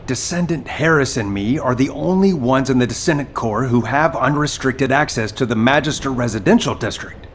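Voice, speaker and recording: An actor playing an easygoing, sincere courier comrade in a video game cutscene, speaking conversationally. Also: male voice, medium pitch, normal speed, few pauses, a little hiss or hum, natural voice. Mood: explaining, puzzled. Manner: conversational, animated.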